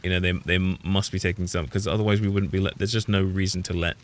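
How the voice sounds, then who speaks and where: A man's voice: low voice, fairly even intonation, fast talker. A British man streaming a game, rambling opinionated commentary that keeps trailing off and restarting. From United Kingdom. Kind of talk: casual gameplay commentary.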